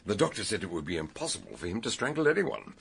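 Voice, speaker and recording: An actor playing a methodical British police investigator in a radio drama, explaining details with small hesitations, in a British accent. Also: male voice, low voice, wildly swooping pitch, fast, gravelly, a bit bright, slight background noise, heavily acted. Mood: matter-of-fact. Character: police investigator, brisk, knowledgeable, methodical questioner.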